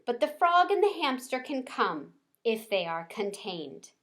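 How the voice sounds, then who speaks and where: A woman's voice: on the high side, big pitch swings, a little slow, a bit bright, voice pushed up. Woman playing a strict, formal gym teacher. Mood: stern. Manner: formal, firm.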